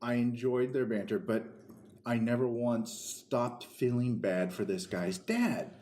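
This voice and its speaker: A man with a mid-pitched voice talks casually as a podcast co-host in an echoey room. He is earnest and halting, backing up to correct himself as he makes his point. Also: dark tone. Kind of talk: casual conversational discussion.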